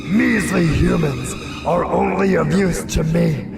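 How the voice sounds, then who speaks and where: Gravelly, echoing monster villain. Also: male voice, fairly high pitch, exaggerated voice. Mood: gloating menace. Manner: slow, taunting, pompous. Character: gloating monstrous entity, pompous villain ruling a mindscape. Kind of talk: audio drama villain acting. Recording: echoey, distant voice under loud music.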